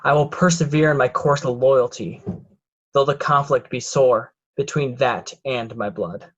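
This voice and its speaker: A man's voice: medium pitch, fairly even intonation, measured pace, dull audio, close to an everyday voice. A man playing a scheming, hypocritical young nobleman in a Shakespeare reading. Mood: sly, calculating. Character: scheming hypocrite Edmund, ambitious young bastard son. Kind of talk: remote reader's theatre Shakespeare.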